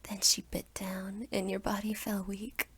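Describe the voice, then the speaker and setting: A young adult woman reading a scripted second-person story as ASMR in a soft, whispery voice. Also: medium pitch, little pitch movement, measured pace, husky. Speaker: roleplay narrator.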